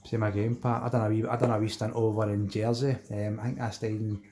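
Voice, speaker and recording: Glaswegian male streamer: low-pitched, mellow and creaky, matey, rambling chat with viewers. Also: little pitch movement, fast talker. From Glasgow, Scotland. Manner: matey, rambling, broken phrasing, colloquial Scots wording. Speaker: fishing channel live-stream host. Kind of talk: casual live chat, reading and answering viewer messages.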